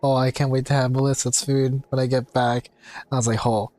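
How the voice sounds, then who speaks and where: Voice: medium pitch, flat delivery, a little fast, darkish tone. A game streamer chatting casually while commenting on a game live.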